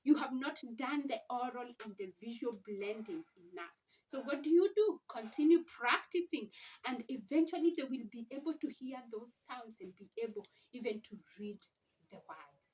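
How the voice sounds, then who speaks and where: A woman giving an informal reading lesson to parents, explaining deliberately and posing questions as she teaches. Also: on the high side, a little mellow, a little hiss or hum. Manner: deliberate, sounds out letters and words, asks rhetorical questions. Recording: slightly distant microphone.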